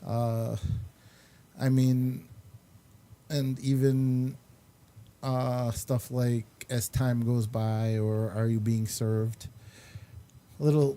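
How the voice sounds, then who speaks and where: Slow, dark-voiced rambling male host. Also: mid-range voice. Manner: rambling, hesitant, many ums and uhs. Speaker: show host. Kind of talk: casual panel chat.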